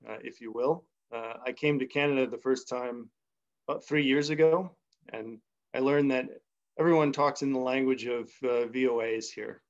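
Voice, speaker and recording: Young man giving a seminar talk. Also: mid-range voice. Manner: unhurried, thinking aloud with many pauses. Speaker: seminar speaker. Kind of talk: academic math talk over video call. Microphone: video call. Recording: slightly muffled video call audio.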